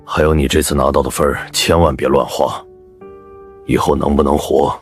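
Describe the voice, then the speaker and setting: A man playing a blunt, hearty, chatty team veteran in an animated web drama, using slangy speech. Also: extremely low pitch, moderate pace. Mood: blunt, advising. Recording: background music under dialogue.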